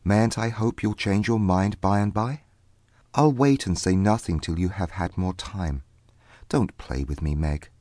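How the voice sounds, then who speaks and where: A woman voicing an earnest young gentleman suitor in a storybook, tender and persuasive in manner. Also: rumbling low, measured pace, no echo, studio quality, pitched below the performer's own voice. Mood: anxious, pleading. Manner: persuasive, beseeching.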